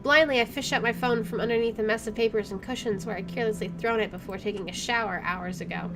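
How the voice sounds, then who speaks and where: High-voiced woman reading a game aloud. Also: fairly even intonation, fast. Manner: dramatic reading, reacting with exclamations. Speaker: gamer doing a playthrough. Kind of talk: reading visual novel text aloud with casual commentary. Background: soft game music.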